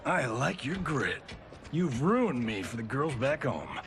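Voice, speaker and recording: An actor playing a cocky, wisecracking soldier officer, brash and flirty. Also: male voice, mid-range voice, big pitch swings, slow, noisy background. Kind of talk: video game cutscene acting.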